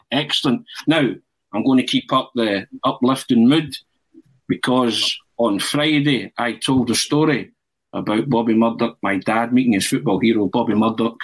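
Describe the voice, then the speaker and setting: A man's voice: medium pitch, a little slow, slightly rough, rough recording. A man hosts a football podcast in casual chat. He is jokey and playful, telling stories and teasing his guests, and he laughs often. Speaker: podcast host.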